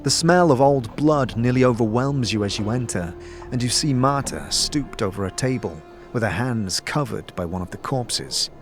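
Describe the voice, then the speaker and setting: Male narrator for scripted fantasy drama, mid pitch, lively contours. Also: normal speed, over music.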